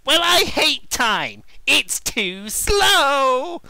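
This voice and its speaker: A man voicing an eager, impatient young hedgehog hero from a cartoon, in an excitable, exclamatory way. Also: very high-pitched, drawn-out words, gravelly, no room sound, voice pushed up, heavily acted. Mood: grumpy.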